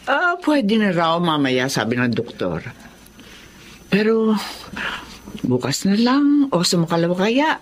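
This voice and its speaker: A woman's voice: on the low side, very animated, slow pace, long pauses, a little hiss or hum. A woman acting a kindly, protective older grandmother in a Filipino radio drama. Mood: caring.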